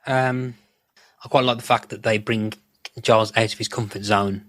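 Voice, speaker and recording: Male podcast guest, low voice, close dry sound, loose rambling conversational delivery with casual swearing. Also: fairly even intonation, moderate pace, fairly bright tone, no echo.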